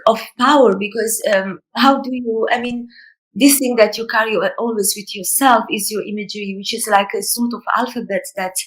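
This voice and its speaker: A woman's voice: a little high, fairly even intonation, normal speed, low-quality recording. A woman from Italy, an author in a reflective conversation, who hesitates and searches for words with many fillers.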